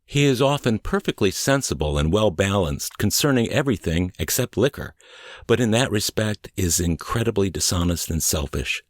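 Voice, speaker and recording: A man reading scripted audiobook narration in a measured, deliberate, clearly phrased way. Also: fairly low pitch, animated, dry recording, studio quality. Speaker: audiobook and podcast reader.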